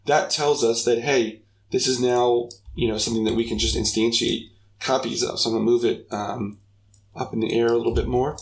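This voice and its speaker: Gravelly-voiced man talking through a tutorial. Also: mid-range voice, slight background noise. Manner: upbeat, talks through each step, chatty asides. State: raspy voice. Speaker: game development tutorial host. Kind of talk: casual screen-recorded coding tutorial.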